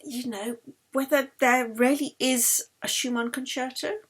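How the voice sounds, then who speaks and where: A breezy woman assistant from a storybook, acted by a woman reader. She is stubborn, eager and teasing, with a lifted pitch. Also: steady tone, measured pace, breathy edge. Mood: coaxing.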